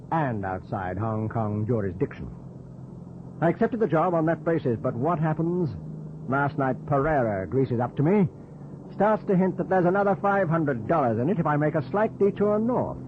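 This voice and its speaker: An actor playing a stubborn, sardonic British pilot for hire in an old radio drama, blunt and wary. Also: male voice, fairly high pitch, natural voice. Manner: blunt, sardonic, clipped retorts. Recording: muffled narrowband old radio, loud noise.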